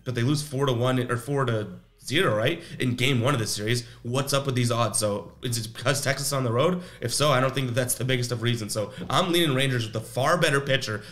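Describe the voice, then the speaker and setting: A young man hosting a sports betting picks show, talking casually and rambling a bit, his voice slightly raspy as he comes down with something. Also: mid-range voice, fairly bright tone. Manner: rambling, conversational, self-questioning.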